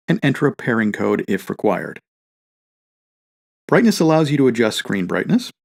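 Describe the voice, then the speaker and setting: A man reading a scripted product tutorial as a demonstrator, in a clear step-by-step instructional way. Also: medium pitch, a little mellow.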